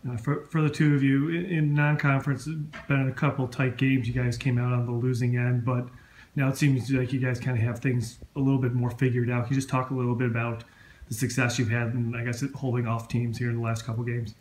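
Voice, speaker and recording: A brisk male moderator introduces the speakers and asks questions at a quick, even pace. His voice stays flat and businesslike, echoing in a roomy press room. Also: medium pitch, slight background noise.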